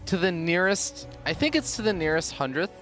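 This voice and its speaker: A man's voice: high-pitched, very animated, fairly quick, a bit bright, faint music behind. A man doing casual live esports commentary with joking banter between points.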